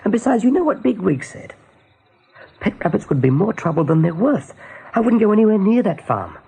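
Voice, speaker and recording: A man's voice: high-pitched, sing-song, fairly quick, creaky, dark tone, full character voice. An actor playing an anxious young male rabbit seer in a radio play, urgent, hesitant and pleading. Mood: anxious, accusing. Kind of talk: radio drama acting.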